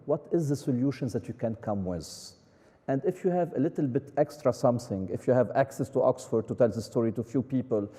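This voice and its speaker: Dark-voiced man pressing rhetorical questions. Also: medium pitch. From Lebanon. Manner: emphatic, repeating rhetorical questions. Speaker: conference speaker. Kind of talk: onstage conversation. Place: conference hall. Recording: reverberant hall.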